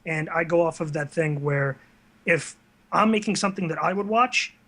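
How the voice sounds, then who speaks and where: A man's voice: fairly high pitch, normal speed, a bit bright. A man speaking as a guest in a casual interview, explaining his work in an earnest way. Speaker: guest, online video series creator.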